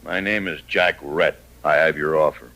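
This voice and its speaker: Steely, arrogant gunslinger for radio western; very low voice, clipped and menacing lines. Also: male voice, normal speed, sharp tone, exaggerated voice, low-quality recording. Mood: cold, commanding. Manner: terse, coolly menacing. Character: cold, arrogant hired gunman turned western sheriff, 38. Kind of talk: old-time radio western acting.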